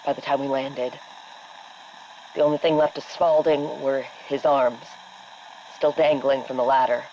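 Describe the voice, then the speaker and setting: A woman playing a shaken, sardonic army helicopter captain, giving a halting, bitter debrief for a game audio log. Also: extremely low pitch, fairly even intonation, dark tone, dull audio, slight background noise. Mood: grim, haunted. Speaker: military helicopter exfil pilot.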